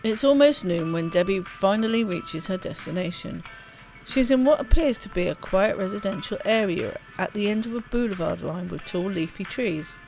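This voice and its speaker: Female let's play host, mid-range voice, expressive narrative reading, casual asides, faint music underneath. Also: mid-range voice, normal speed, poor audio. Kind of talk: reading game narration aloud.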